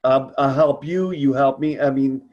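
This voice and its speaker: A man's voice: mid-range voice, fairly even intonation, unhurried, darkish tone, muffled recording. Guy hosting a support group call, chatty and earnest, kinda rambles, regular mid voice. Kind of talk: casual conference-call conversation.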